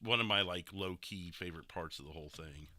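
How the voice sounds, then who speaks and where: A man hosting a casual stream podcast, commenting on replays in an animated, sweary way and throwing in imitated sound effects. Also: sits low, fairly quick.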